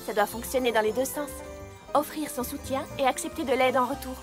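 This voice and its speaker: Woman as an earnest, high-voiced young cartoon pony. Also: a little fast, lightly acted. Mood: warm, reflective. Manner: articulate, earnest, lecturing. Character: earnest, studious young female pony, caring but exasperated. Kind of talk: animated cartoon dub acting. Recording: music behind the voice.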